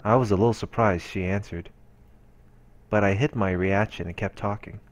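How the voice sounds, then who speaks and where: A man's voice: fairly low pitch, steady tone, moderate pace. A man reading visual novel narration aloud for a let's play.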